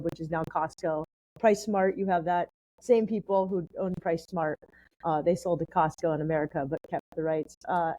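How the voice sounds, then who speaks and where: A woman's voice: mid-range voice, dark tone, no echo, poor audio. A woman from San Diego, a podcast guest, telling long personal stories in a chatty, rambling way with plenty of "like" fillers. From San Diego, California. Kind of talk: casual conversational storytelling.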